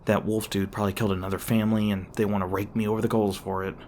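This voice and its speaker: A man acts out an edgy monster hunter in an audio roleplay. His voice sits fairly low, and his talk is sarcastic and brash. Underneath he is protective and soft-hearted, stumbling over words when flustered. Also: flat delivery, rapid-fire, a little hiss or hum, close to an everyday voice. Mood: wary, protective. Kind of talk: ASMR roleplay voice acting.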